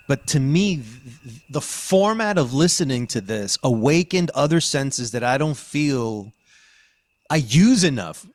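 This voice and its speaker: A man's voice: medium pitch, lively intonation, takes it slowly, darkish tone, dry recording. A man hosting a podcast in casual conversation, speaking informally and with emphasis, using plenty of slang. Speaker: podcast host. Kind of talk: casual chat.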